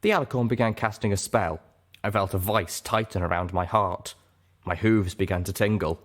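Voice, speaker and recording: A man's voice: lowish voice, moderate pace. A man reading scripted fiction aloud as a dramatic audiobook narrator.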